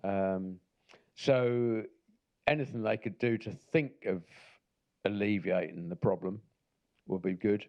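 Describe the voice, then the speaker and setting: Brief: a gravelly-voiced man from Norfolk in England as co-host, hesitant and dry. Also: fairly low pitch, slow pace, highs cut off. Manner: hesitant, stop-start and dry. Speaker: podcast co-host. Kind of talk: casual chat.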